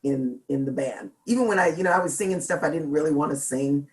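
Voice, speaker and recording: A middle-aged woman from Seattle with a deep, gravelly voice, chatting as a singer in an interview and laughing often. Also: fast talker. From Seattle, United States. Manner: chatty, laughs often, jokes about herself. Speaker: guest singer. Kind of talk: casual interview chat.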